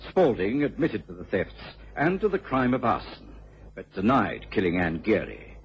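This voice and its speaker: An actor playing a genteel, earnest gentleman companion of a detective in a radio drama, telling the case expressively. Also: fairly low pitch, very animated, moderate pace. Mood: measured, grave. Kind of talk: radio drama narration and acting. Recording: dull, lo-fi old radio recording with faint hiss.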